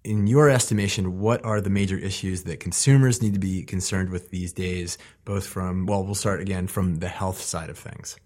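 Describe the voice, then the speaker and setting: Deep-voiced male radio host. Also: fairly even intonation, darkish tone. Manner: curious, measured questioning. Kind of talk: interview questions.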